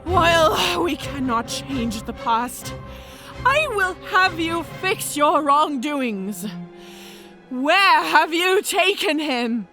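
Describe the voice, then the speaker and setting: Fantasy audio drama, female dragon mage in disguise: cunning, articulate, very animated, high-pitched, faint music beneath. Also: takes it slowly, full character voice. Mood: stern questioning. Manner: theatrical, composed, formally articulate.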